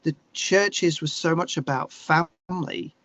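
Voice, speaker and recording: A man with an English accent talking candidly and reflectively as an interview guest, searching for his words in a halting way. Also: fairly high pitch, a bit bright, no room sound, lo-fi sound.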